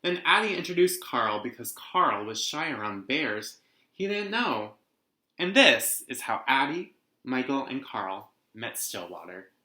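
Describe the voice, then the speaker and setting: A man's voice: medium pitch, very animated, a little slow, pushed and bright. A young man casually presenting and reading a picture book for students at home. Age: young adult. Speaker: read-aloud host, teacher. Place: living room at home. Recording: roomy indoor echo.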